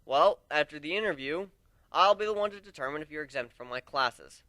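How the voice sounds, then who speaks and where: A man voicing a brisk, stern griffin warrior and combat instructor in a dramatic story reading, commanding and sardonic. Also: on the high side, lively intonation, bright, forceful tone, no room sound.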